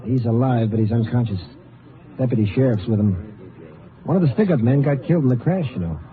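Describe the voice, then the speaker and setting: A man's voice: medium pitch, animated, brisk, lightly acted. Man acting a plainspoken lawman, tinny old radio. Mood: matter-of-fact. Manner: plain, folksy, clipped reporting. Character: plainspoken male lawman reporting to a colleague. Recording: tinny old radio recording, background commotion.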